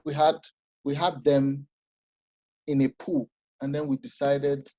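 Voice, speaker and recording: A man giving an informal masterclass talk, animated and explanatory, often restarting his sentences. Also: medium pitch, takes it slowly, low-quality recording. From Nigeria. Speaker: masterclass speaker.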